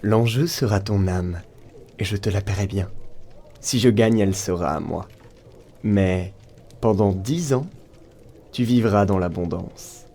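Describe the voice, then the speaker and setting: A man's voice: fairly low pitch, measured pace, pauses often, dark tone, slight background noise. A man acting male characters of a folk tale in an audio drama, speaking in a theatrical, emphatic manner. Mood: sly bargaining. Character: male folk-tale characters: devil, saint, wily blacksmith.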